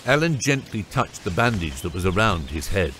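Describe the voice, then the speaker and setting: Low-pitched male fantasy narrator. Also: sing-song, moderate pace, fairly bright tone, a little hiss or hum. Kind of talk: scripted fantasy audiobook narration.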